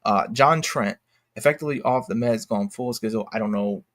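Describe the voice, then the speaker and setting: A man giving unscripted commentary as a YouTuber, talking in a halting, slangy, exclamatory way and often interrupting himself. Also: on the low side, a bit bright. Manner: rambling, halting, self-interrupting. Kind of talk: unscripted casual commentary rant.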